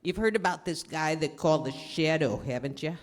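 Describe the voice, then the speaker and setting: A woman's voice: deep voice, expressive, moderate pace. A woman playing a nervous petty crook, slangy and wary, in 1930s radio style. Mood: fearful, urgent. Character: nervous petty crook, henchman posing as beggar. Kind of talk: 1930s gangster radio acting. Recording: reverberant hall recording.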